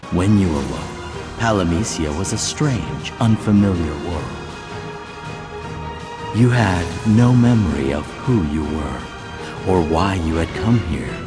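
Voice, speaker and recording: An actor playing a solemn, mysterious guide in a video game, formal and deliberate as he tells of prophecy. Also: sits low. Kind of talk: video game cutscene narration.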